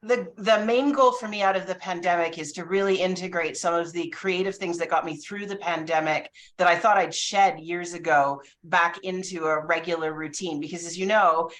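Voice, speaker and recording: A woman in her forties, a criminal defence lawyer, speaking in a reflective, measured way on a video call. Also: fairly low pitch, steady tone, a bit bright. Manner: reflective, measured, with frequent fillers. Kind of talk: casual panel chat.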